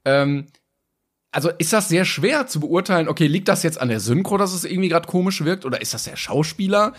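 A man's voice: a little high, expressive, brisk. A young man with a German accent talking casually as a podcast co-host, colloquial and reflective. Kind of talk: casual conversation.

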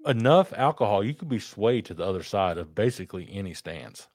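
A man with a deep baritone voice co-hosting a podcast, chatting casually in loose, joking, profane banter. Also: very animated, a little fast, darkish tone. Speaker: podcast co-host. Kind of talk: casual chat over beers.

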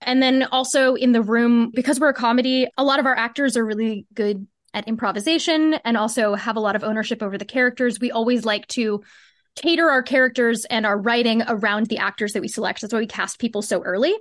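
A woman with a fairly high-pitched voice talks as an interview guest. She explains her process casually and thoroughly, in chatty phrasing. The sound is very dry and close, with no room on it. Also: fairly even intonation, quick pace, no echo. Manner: explanatory, informal, peppered with "like" and "cool". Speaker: podcast guest, audio drama co-creator. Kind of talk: casual interview conversation about craft.

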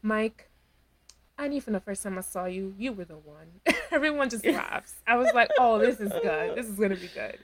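A woman co-hosting a podcast, recapping a reality show in casual chat, animated, laughing often and acting out quotes. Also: medium pitch. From Dominican heritage. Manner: animated, talks with her hands, quick laughs, acts out quotes. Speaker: podcast co-host.

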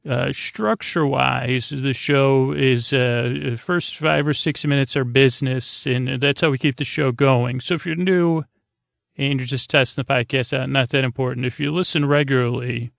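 Male sleep-podcast host, creaky voice, close dry sound, wandering and hesitant delivery full of false starts. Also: medium pitch, steady tone, fairly bright tone, dry recording. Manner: meandering, tangent-prone, halting and stammering; languid, drawn-out delivery meant to lull. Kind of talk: rambling bedtime podcast monologue.

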